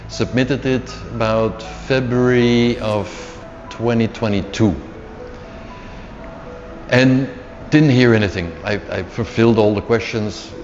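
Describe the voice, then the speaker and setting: A middle-aged man whose first language is Dutch, telling his story in a casual interview, unhurried and repeating words as he searches for them. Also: lowish voice. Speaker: interview guest, author. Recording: dull lo-fi sound with long reverb and faint music.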